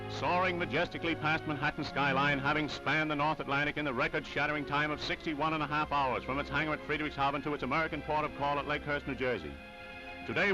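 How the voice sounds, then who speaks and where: Male newsreel narrator, 1930s style, slightly high voice, formal and florid, music underneath. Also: low-quality recording. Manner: florid, formal, declamatory delivery. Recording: vintage 1930s film soundtrack with music.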